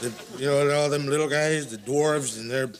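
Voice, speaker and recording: A man's voice: fairly high pitch, fairly even intonation, normal speed, natural voice. A man playing a bumbling, tipsy elderly children's storytime host in a comedy sketch, stammering and losing his thread. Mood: rambling, muddled. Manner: rambling, stammering, loses his thread, trails off. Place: living-room studio set with audience. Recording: noisy TV studio, audience behind.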